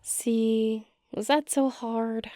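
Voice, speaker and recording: Woman as a nurturing giantess, gentle, teasing, soft warm timbre, very dry close recording, ASMR roleplay. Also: fairly high pitch, steady tone, drawn-out words, dry recording. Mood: coaxing, chiding.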